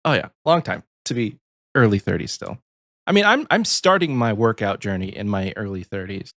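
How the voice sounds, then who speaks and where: A man in his early thirties co-hosting a podcast, easygoing and quick to laugh. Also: lowish voice, expressive, fairly bright tone, dry recording, studio quality. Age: 30s. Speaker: podcast co-host. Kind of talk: casual chat.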